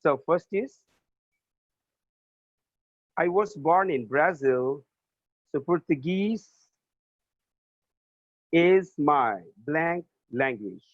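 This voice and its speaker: Male teacher, unhurried and repetitive, dry tinny phone-like audio. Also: fairly high pitch, expressive, dry recording. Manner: repeats and spells out each answer. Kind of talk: slow remote class lesson, spelling words aloud.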